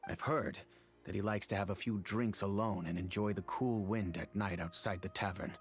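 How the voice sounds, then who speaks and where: A man's voice: fairly low pitch, steady tone, lightly acted. A man playing a regretful older merchant. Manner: measured, confessional. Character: older wealthy male merchant, regretful and earnest. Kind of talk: game dialogue.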